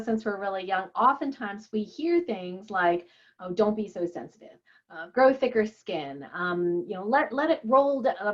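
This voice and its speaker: A woman's voice: a little high, lo-fi sound. A woman whose first language is Chinese, giving a casual interview as a guest in a chatty, digressive way. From Canada. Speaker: interview guest, physician and author.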